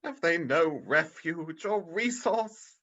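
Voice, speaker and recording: Male actor as old miser, radio play: slow, sharp-edged, fearful, pleading, lo-fi call audio. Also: on the high side, expressive, exaggerated voice. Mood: troubled. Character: frightened, penitent old miser, pleading with a spirit. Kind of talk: vintage radio drama acting. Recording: dull video-call audio.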